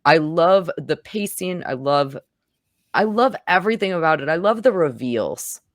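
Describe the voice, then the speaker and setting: Lady hosting a movie chat, lowish voice, really into it, dry sound. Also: animated, moderate pace, dry recording. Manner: enthusiastic. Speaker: host of a film review show. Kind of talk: casual film discussion.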